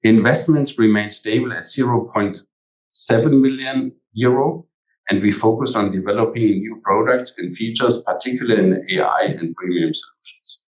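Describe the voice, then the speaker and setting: A man's voice: fairly low pitch, moderate pace, dull audio. A man formally reading a prepared company earnings presentation as an executive. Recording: echoey room, distant from mic.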